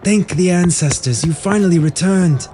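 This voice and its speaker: Man acting a fervent, high-pitched desperate fantasy king. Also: moderate pace, a little hiss or hum, natural voice. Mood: feverish joy. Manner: fervent, loud, urgent. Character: unstable, desperate young king devoted to dying wife. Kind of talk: fantasy audio drama acting.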